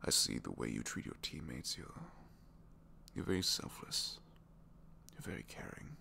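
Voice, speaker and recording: Guy doing a soft shy villain for asmr roleplay, rambly and earnest, pitch wanders a lot, bit of background noise. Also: big pitch swings, moderate pace, gravelly, heavily acted. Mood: sincere, fond. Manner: hesitant, soft-spoken, rambling, often dropping to whispers. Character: captured supervillain, gentle, bookish, shyly earnest dreamer. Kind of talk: intimate ASMR audio roleplay.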